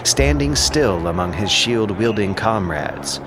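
Low voiced guy doing dramatic epic narration, solemn and declaiming, music behind him. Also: expressive, slow pace, poor audio.

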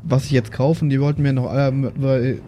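A man's voice: mid-range voice, little pitch movement, a little fast, dark tone. A man takes part as a player in a tabletop role-playing game, chatting casually. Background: background music.

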